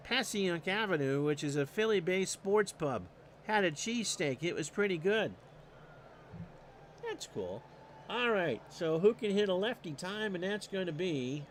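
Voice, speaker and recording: A man calls a replayed baseball game on his own, in a high voice and at an easy, unhurried pace. He works through stats with dry little asides and turns loud and excited when the ball is in play. Faint street noise hums behind him. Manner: stat-heavy, dry asides, rising excitement on big plays. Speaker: play-by-play announcer. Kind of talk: solo replay commentary streamed live.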